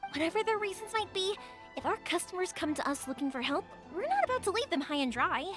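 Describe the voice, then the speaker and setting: A woman voicing a cheerful, warm-hearted young fireworks maker in a game, friendly and reassuring. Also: extremely high pitch, big pitch swings, quick pace. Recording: game audio with background music.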